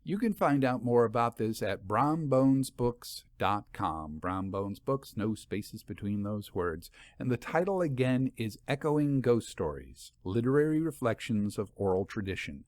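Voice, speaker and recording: A man with a medium-pitched voice speaks as a podcast host. He muses conversationally and puts rhetorical questions to his listeners, recorded close and dry with no room sound. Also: very animated, unhurried, few pauses, darkish tone, dry recording. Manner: musing, asks rhetorical questions. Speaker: podcast host and anthology editor.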